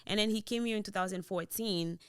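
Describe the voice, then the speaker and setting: A young woman from Haiti with a Haitian Creole accent, a podcast guest telling her story in relaxed chat and slipping into Creole, on a studio boom mic. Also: fairly quick, smooth. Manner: switches into Creole mid-sentence, relaxed storytelling. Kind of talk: casual interview chat. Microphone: boom-mounted studio mic.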